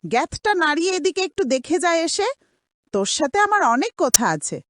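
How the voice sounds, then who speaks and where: A cruel, nagging aunt from a cartoon drama, acted by a woman. Her high voice swings widely as she scolds and sneers. The sound is dry and close. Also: sing-song, a little fast, a bit bright, no room sound. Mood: demanding. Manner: harsh, sarcastic, nagging.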